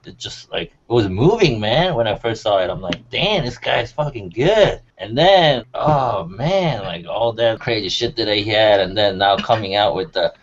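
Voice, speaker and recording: Animated, slangy male co-host. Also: fairly low pitch, normal speed, few pauses. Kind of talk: casual chat. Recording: muffled, faint room noise.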